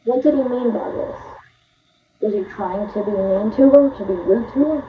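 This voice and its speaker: A woman with a slightly hoarse voice, reading scripture aloud and explaining it earnestly, asking the listener rhetorical questions. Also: fairly high pitch, moderate pace. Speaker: Bible video host. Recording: tinny phone-like sound, faint hiss.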